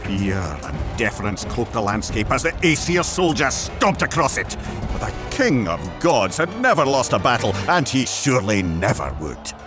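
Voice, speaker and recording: Animated male storyteller over music. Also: medium pitch, moderate pace. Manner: theatrical, emphatic. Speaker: narrator. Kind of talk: dramatic scripted mythology storytelling. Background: background music.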